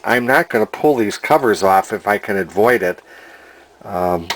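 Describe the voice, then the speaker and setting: A man giving an informal hands-on repair demonstration in a halting way, thinking aloud as he explains. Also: lowish voice, animated, low-quality recording. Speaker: hobbyist radio repairer, presenter. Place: indoor workshop at a workbench.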